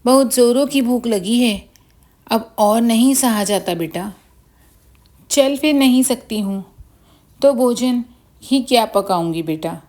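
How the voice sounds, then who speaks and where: A woman's voice: fairly high pitch, lively intonation, takes it slowly, pauses often, a little mellow. A woman voicing a sick, weary village mother in a children's story, lamenting her lot plaintively. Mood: weary, hungry. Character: sick, weary, self-pitying poor old village mother.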